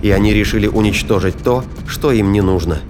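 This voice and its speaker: Guy narrating a sci-fi audio drama, lowish voice, music playing clearly behind him. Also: brisk.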